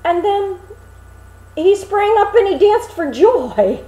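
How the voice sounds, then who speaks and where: A woman reading a fairy tale aloud in an expressive, dramatic storytelling manner. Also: extremely high pitch, roomy sound. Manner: animated, dramatic, deliberate phrasing. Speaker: storybook reader.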